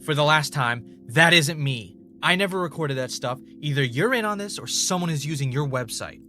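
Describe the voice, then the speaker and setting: A man's voice: fairly high pitch, expressive, moderate pace, sharp tone, faint music behind. A man playing a former reality show contestant turned podcast host, haunted and defensive, in naturalistic audio drama dialogue. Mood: accusing, exasperated.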